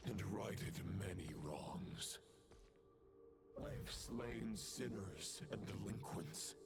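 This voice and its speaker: Gravelly ancient villain swordsman. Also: low-pitched, full character voice. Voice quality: gravelly, solemn. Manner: slow, grandiose declarations. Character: grim male villain swordsman, centuries old, fanatical. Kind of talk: video game voice acting. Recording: game audio under loud ambient noise.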